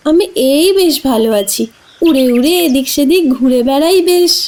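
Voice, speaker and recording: A woman voicing a small, cheeky, helpful tailorbird in a cartoon fable, squeaky, childlike and exclaiming. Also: lively intonation, normal speed, darkish tone. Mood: modest, friendly.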